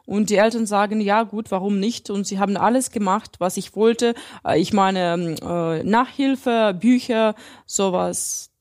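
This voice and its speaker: Woman, young adult, interviewee, fillers and pauses, earnest, medium pitch. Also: darkish tone. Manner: hesitant, many fillers, earnest. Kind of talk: casual interview answers.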